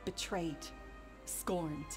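A woman's voice: deep voice, fairly even intonation, very slow. A woman playing a haughty, embittered middle-aged criminal in scripted game dialogue, dramatic and arch in delivery. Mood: brooding. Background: game music.

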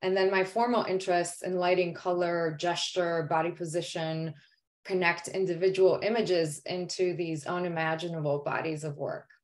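A woman's voice: fairly low pitch, little pitch movement, moderate pace. A woman born in Poland and raised in Greece, giving an earnest artist presentation on a video call, with the odd "um" as she explains. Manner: earnest, explanatory, some hesitant fillers.

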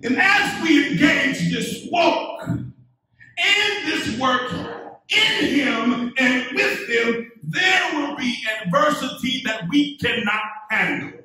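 A middle-aged man preaching a sermon in unhurried, deliberate phrases, his voice sitting unusually high for a man. He builds to emphatic peaks that invite call-and-response. The sound is heavily echoey, as if heard from the back of a church sanctuary. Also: lively intonation, sharp tone, far from the mic. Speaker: pastor. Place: church sanctuary, indoors, medium-sized.

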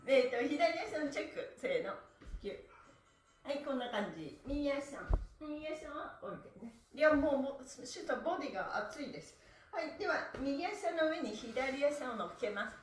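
A woman's voice: high-pitched, lively intonation, brisk, a little hiss or hum. A middle-aged woman leading a live stretch class, cheerful and laughing as she chants the counts. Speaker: stretch instructor. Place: small room at home, on a floor mat. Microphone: far camera. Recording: echoey room, voice from far off.

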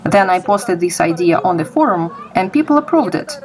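A woman's voice: lowish voice, animated, normal speed, a little mellow. A young adult woman from Kazakhstan giving informal, explanatory answers as an interviewee. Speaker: interviewee, project creator.

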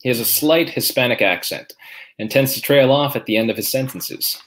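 A man's voice: mid-range voice, normal speed, fairly bright tone. A man reading out stage directions at a live table read over a video call.

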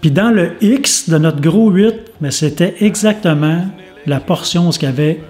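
A man with a Quebec French accent hosts and narrates a travel vlog. His voice sits a little high, and he talks casually over clearly audible music. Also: normal speed, darkish tone.

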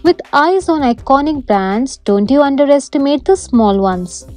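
Young indian woman, high voice, upbeat market reporter, light music under. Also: animated, unhurried, a little mellow. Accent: Indian English. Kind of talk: travel vlog with voice-over narration. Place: busy street market outdoors.

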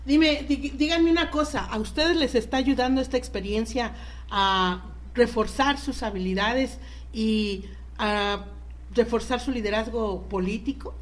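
Higher-pitched Mexican woman hosting radio. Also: unhurried. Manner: chatty, addresses guests informally. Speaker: radio show host. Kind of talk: live talk show presenting and interviewing.

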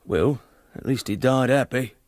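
A man's voice: mid-range voice, a little slow, darkish tone, natural voice. An actor playing a wry, offhand male gaming-house worker in a radio drama. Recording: crowd noise behind. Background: busy crowd in a gaming house.

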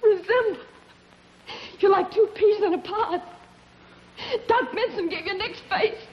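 Sardonic gangster's moll, muffled film sound. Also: female voice, extremely high pitch, a little slow. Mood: mocking hysteria. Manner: sardonic, needling, blunt. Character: brassy, hard-boiled gangster's moll, adult woman, mocking. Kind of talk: 1950s crime drama film acting. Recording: dull old film soundtrack with music.

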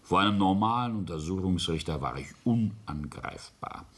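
An actor playing a mocking, menacing middle-aged industrialist in a radio play, speaking with sardonic deliberation. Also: male voice, sits low, lively intonation. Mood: cold menace. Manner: sardonic, deliberate, with whispered drops. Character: menacing, mocking family patriarch and ambitious industrialist. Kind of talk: German radio play acting.

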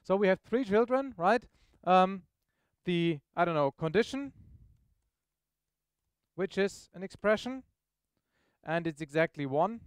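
A man's voice: high voice, animated, unhurried, long pauses, clear, resonant tone, no room sound, studio quality. A man giving an informal, explanatory live technical demo to an audience, often checking in with questions. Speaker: software presenter. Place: meetup presentation room, on stage.

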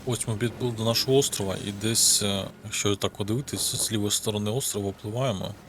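Low-voiced Ukrainian man commentating gameplay. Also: fairly even intonation, quick pace, noisy background. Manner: offhand, muttering to himself, casually swearing. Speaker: gaming video host. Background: game music.

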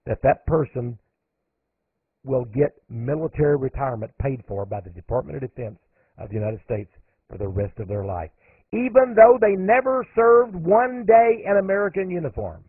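Guy doing a radio talk show, husky voice, tinny phone-line sound, dry. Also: high voice, no echo. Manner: emphatic, voice climbs sharply on stressed points. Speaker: radio host. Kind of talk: radio monologue.

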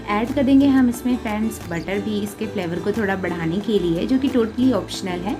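Indian female home-cook host, mid-pitched instructional recipe narration, prominent music bed underneath. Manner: instructional, addresses listeners as friends often. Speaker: home cooking video host. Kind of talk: recipe voice-over, step-by-step instruction. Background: prominent background music.

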